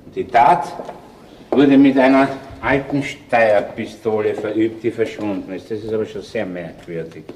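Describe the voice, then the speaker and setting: An actor with a Viennese accent playing a seasoned, dry and persistent police chief inspector in a conversational radio crime play. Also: male voice, on the low side, moderate pace, a little mellow, slight background noise, lightly acted, low-quality recording. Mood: pensive. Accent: Austrian, Viennese. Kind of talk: conversational radio drama acting.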